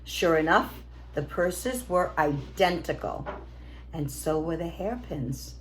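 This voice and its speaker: Animated, unhurried woman storyteller. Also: low voice, slight background noise, low-quality recording. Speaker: teacher reading to pupils. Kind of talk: expressive children's storybook narration.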